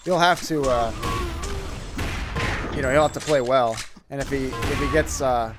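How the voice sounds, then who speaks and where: A man at a middle pitch calls a live online game tournament, chatty and loose, full of "uh"s and false starts. His voice has gone a little hoarse from talking for so long. Game music plays clearly behind him, along with gunfire. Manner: casual and rambling, with many fillers and restarts. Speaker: tournament caster. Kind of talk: live esports commentary on a stream. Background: game music and gunfire sounds.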